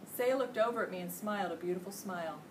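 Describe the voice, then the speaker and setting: A middle-aged American woman reads a children's book aloud in an expressive storytelling style. Her voice sits at an ordinary female pitch. It is caught by a distant microphone in a clearly noisy room. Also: quick pace. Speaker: teacher reading aloud. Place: indoor school classroom. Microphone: distant camera microphone.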